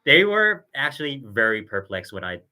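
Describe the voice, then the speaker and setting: A man's voice: medium pitch, sing-song, moderate pace, sharp tone. A young man from South Korea chatting casually, rambling with hesitations and restarts. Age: young adult. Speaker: student guest. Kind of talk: casual chat.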